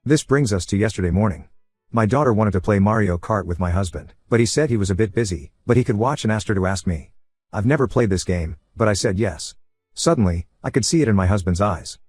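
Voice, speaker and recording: A man reading a first-person online story aloud as scripted narration, in a quick, even storytelling manner. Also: fairly low pitch, dry recording. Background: faint background music.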